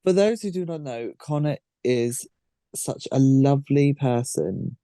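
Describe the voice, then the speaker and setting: Man, podcast host, soft dark tone, very dry recording, informal questions, halting phrasing. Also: mid-range voice, expressive, no room sound. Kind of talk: casual interviewing.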